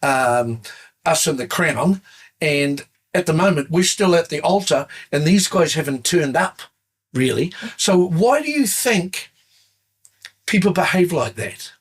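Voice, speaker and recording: Playful older man asking questions, husky. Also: fairly high pitch, measured pace. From New Zealand. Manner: playful, teasing, expressive. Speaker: interviewer. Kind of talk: casual interview chat.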